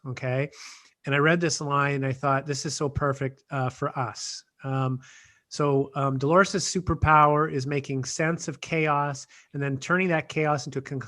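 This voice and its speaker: Rambling man podcast host, slightly high. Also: a bit bright. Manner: rambling, hesitant, many fillers. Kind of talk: podcast interview.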